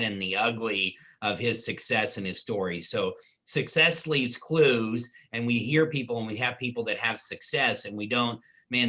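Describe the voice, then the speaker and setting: Man, bright and crisp-edged tone, medium pitch, casual meeting host, interviewer.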